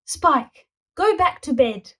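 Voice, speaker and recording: Woman putting on a bossy old granny dinosaur, high-pitched. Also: expressive, slow pace. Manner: firm, scolding, teasing.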